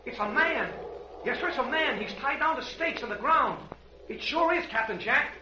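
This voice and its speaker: An excitable American boy adventurer, very high and sharply bright. He is earnest and exclaiming, in old radio serial style, on a dull, faintly noisy recording. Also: normal speed, full character voice. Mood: excited. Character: eager excitable boy adventurer, loyal and brave.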